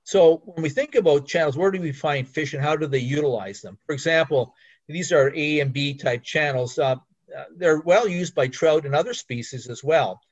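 A man's voice: a little high, moderate pace, no room sound. An older man giving a slide talk as a fisheries biologist, rambling with restarts, fillers and fishing anecdotes. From Canada. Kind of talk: slide presentation lecture. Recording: dull, slightly muffled recording.

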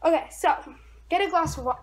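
Young teen girl vlogger, high voice, rambling casual chat, noisy handheld recording at home. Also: brisk. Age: 13, young teenager. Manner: rambling, informal. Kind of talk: casual chatty vlog. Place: rooms at home, indoors. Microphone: camera at arm's length or propped nearby.

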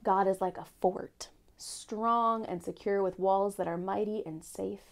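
Young woman, church speaker, gentle, whispery, slight vocal fry, living room, lapel mic. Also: mid-range voice, fairly even intonation, a little slow. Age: young adult. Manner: gentle, reflective, often softly whispery. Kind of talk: children's-sermon-style reflection and picture book reading. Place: home living room, indoors.

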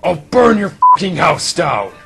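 Guy doing a brash gravelly anime parody officer, hammy and hot-headed, swoops around, music behind. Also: high-pitched, big pitch swings, full character voice. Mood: furious. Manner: swaggering, drawn-out melodramatic exclamations, sweary. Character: brash male officer obsessed with miniskirts, hammy, hot-tempered. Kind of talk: comedic abridged anime parody dub acting.

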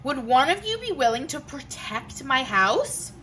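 A gentle, polite little hermit crab from a storybook, as a woman reads him aloud, asking kindly and earnestly. The voice is bright and high, a little lower than her narration. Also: very animated, a little slow, a little hiss or hum, heavily acted.

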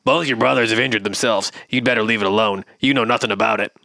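A man's voice: on the low side, fairly even intonation, fast, gravelly, pushed and bright, dry recording. A man voicing a stern father who scolds his youngest son. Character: stern, dismissive father of three sons.

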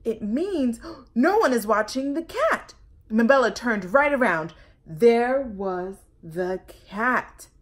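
A woman in her thirties reading a children's picture book aloud, deliberate and expressive, recorded at arm's length at home. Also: on the high side. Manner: animated, deliberate, emphatic storytelling. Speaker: storytime host. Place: home living room and kitchen, indoors.

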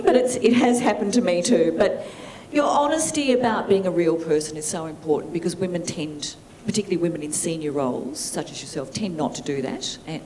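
A woman in her fifties moderating a conference Q&A with a handheld mic, chatty and warm, often restarting her sentences. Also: fairly low pitch, a little hiss or hum, rough recording. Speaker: event moderator. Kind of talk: moderating a panel Q&A. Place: large conference hall with audience.

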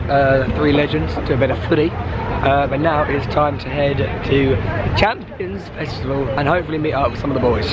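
A young man, hoarse from losing his voice, vlogging excitedly to a handheld camera outdoors at a busy event. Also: on the high side, very noisy. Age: young adult. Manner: excitable, chatty, jokey. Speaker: football vlogger. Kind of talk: casual vlog to camera. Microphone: handheld camera at arm's length.

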